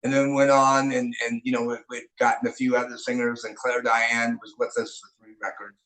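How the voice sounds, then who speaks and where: An older man recalls old times in an easygoing, rambling way, stopping and restarting often. His voice sits at a middle pitch. The sound has a noticeable room echo around it. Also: level tone, fairly quick, fairly bright tone. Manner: rambling, many fillers and restarts. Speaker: rock band singer and guitarist. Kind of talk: casual interview storytelling. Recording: echoey room.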